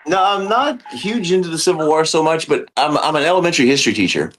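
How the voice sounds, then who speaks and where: A man who teaches elementary history, explaining in earnest with many pauses and fillers in a casual podcast chat. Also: fairly high pitch, animated, fairly bright tone.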